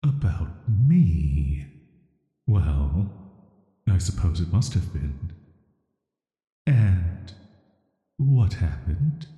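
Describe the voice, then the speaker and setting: A man acts out an ancient sealed-away villain in a soft audio roleplay, resigned yet quietly menacing. The voice is very low and dark, flat and measured, hanging in long, echoing reverb. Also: muffled recording, exaggerated voice. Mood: pensive, curious. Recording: roomy echo with long reverb.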